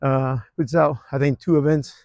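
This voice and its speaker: A man, giving a relaxed, rambling walking tour of his old neighborhood outdoors, with lots of fillers and restarts. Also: mid-range voice, moderate pace, creaky, no room sound. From Argentina. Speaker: real estate vlogger.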